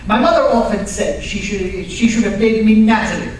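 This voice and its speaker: A woman's voice: mid-range voice, normal speed, a little mellow, close to an everyday voice. A woman playing a dapper, self-pleased young male archaeologist in an old-time radio sponsor spot, chatty and polished. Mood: jaunty, pleased. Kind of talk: 1940s radio sponsor advertisement acting. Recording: echoey hall from far off, faint noise.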